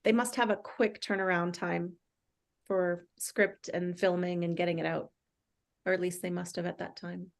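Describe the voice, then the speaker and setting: A woman's voice: on the low side, flat delivery, normal speed, creaky. A woman in a casual group discussion, thinking aloud in a tentative way. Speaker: discussion participant.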